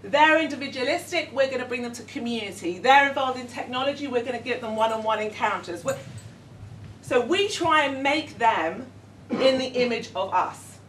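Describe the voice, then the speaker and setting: Middle-aged London woman, higher voice, halting workshop presenter, far mic in an echoey room. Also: expressive, a little hiss or hum. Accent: London English. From London, England. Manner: hesitant, many ums, warm and self-deprecating. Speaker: educator leading a workshop. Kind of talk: conference workshop talk. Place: conference room with audience.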